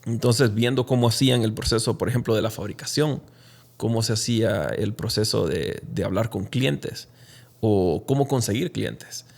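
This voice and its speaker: A man from Honduras, speaking reflectively and haltingly as an interview guest telling his own story. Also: on the low side, gravelly. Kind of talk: conversational personal storytelling in an interview.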